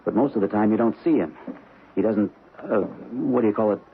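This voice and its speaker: A man's voice: fairly low pitch, a little fast, vocal fry, phone-quality sound. An actor playing a protective, plain-spoken husband and father in an old radio drama, matter-of-fact and conversational. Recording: band-limited, noisy old radio recording.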